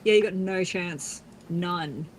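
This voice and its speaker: A woman's voice: lowish voice, slow, slight background noise, rough recording. A woman, chatting casually in a blunt, teasing way. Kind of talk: casual chat.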